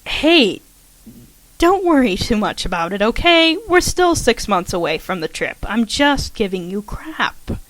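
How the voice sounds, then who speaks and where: A playful young college girl who likes to tease her roommate, her voice lifted a little higher and brighter than the reader's own as a woman reads her lines. She sounds upbeat and friendly, recorded close and dry. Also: big pitch swings, measured pace, no echo, low-quality recording. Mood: teasing.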